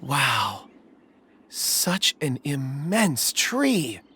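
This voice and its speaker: A woman playing a gentle, thoughtful young man in a video game dub, polite and earnest with some hesitation. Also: extremely low pitch, sing-song, extremely slow, slightly rough, exaggerated voice. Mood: awed, curious.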